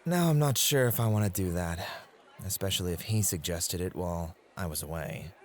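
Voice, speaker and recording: A man's voice: low voice, a little fast, close to an everyday voice. A man playing a devoted, competitive and possessive club host in an intimate, teasing ASMR roleplay.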